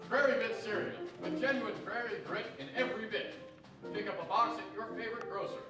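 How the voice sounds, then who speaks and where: A man's voice: sits high, brisk, loud music behind the voice, lightly acted. A young man playing a hearty, formal announcer in an old-time radio show performed live on stage. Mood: upbeat. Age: young adult. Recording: very echoey distant stage recording.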